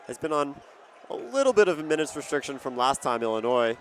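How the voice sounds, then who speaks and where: A man's voice: mid-range voice, big pitch swings, fast, fairly bright tone, a little hiss or hum. A young man giving colour commentary and analysis on a live basketball broadcast. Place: courtside in a basketball arena.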